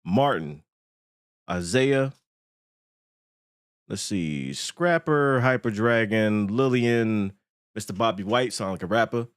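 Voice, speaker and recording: A man's voice: fairly low pitch, animated, takes it slowly, long pauses, clean tone, no room sound, clean studio sound. A man hosting a casual livestream podcast, joking and swearing as he chats. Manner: joking, profane banter. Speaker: podcast host. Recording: music playing behind. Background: background music.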